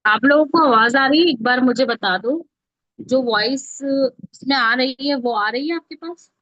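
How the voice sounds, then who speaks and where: A woman's voice: high-pitched, a little slow, fairly bright tone, no room sound. An Indian woman giving an informal live step-by-step tutorial as an online instructor, checking in with her learners as she goes. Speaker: online instructor teaching mobile video editing. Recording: dull, lo-fi, close dry audio.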